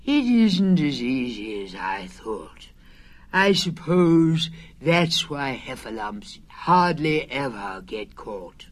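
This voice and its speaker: A woman voicing a slow, honey-loving male teddy bear, talking low and dreamy and sometimes sinking to a whisper. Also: very animated, breathy edge, exaggerated voice. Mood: sad.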